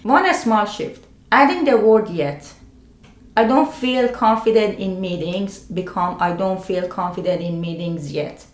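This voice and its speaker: A woman with a non-native English accent hosts a scripted self-help video. Her pitch sits in the middle range for a woman. She talks in deliberate, short phrases with pauses, and the room adds clear echo around her voice. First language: a language other than English. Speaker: video host sharing self-help advice. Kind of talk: scripted personal explainer to camera. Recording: roomy, echoing sound.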